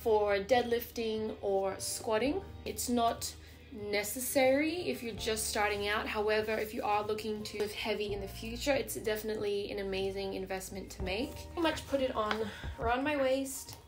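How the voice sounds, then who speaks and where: A woman's voice: mid-range voice, normal speed, faint music behind. A young woman in her twenties chatting casually in a show-and-tell fitness vlog at home, upbeat, with small laughs and loose asides. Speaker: fitness vlogger. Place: bedroom at home, indoors.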